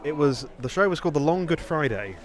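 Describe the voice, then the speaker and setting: Male podcast host, higher-set voice, chatty and rambling, faint bustle behind him. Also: lively intonation, quick pace, a faint crowd. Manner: rambling, self-correcting. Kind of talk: casual reminiscing chat.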